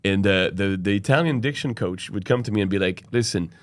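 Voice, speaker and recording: A man, an opera singer and podcast guest, chatting casually and telling lively stories, often laughing and mimicking other people. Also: fairly low pitch, fairly quick, dry recording. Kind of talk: casual chat.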